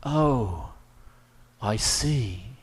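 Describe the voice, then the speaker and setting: A man voicing an earnest, lovesick young gentleman in an audiobook, with naive, theatrical, old-fashioned phrasing. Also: medium pitch, lively intonation, drawn-out words, pitched above the performer's own voice. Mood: dawning conviction. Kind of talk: audiobook character dialogue.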